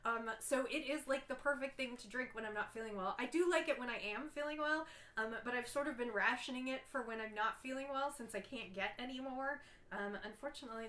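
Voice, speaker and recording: A woman's voice: fairly high pitch, pushed and bright, echoey room. A woman hosting a casual knitting video podcast, stuffy and congested from a cold, chatting along with frequent ums. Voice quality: nasal, stuffy. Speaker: podcast host.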